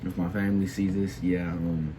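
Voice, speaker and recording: A man's voice: low-pitched, flat delivery, normal speed, noisy background. A teenage boy who is sick, giving a casual opinion monologue in a hesitant way, full of filler words and restarts. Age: teens. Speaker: video creator.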